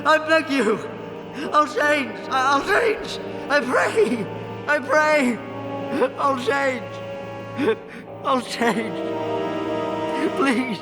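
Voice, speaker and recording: Casting: man as repentant old miser for radio drama, very high pitch, exclamatory, loud music behind. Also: expressive, extremely slow, long pauses, exaggerated voice. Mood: pleading, terrified. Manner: theatrical, stammering, exclamatory. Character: repentant old male miser, desperate then giddy. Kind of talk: old-time radio play acting.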